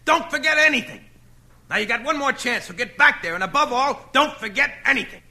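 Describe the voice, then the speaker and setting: A bellowing radio-comedy manager, sharp, fast and high, heard through a door. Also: male voice, expressive, heavily acted. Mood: scolding. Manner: scolding, bellowing. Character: blustery middle-aged male talent manager.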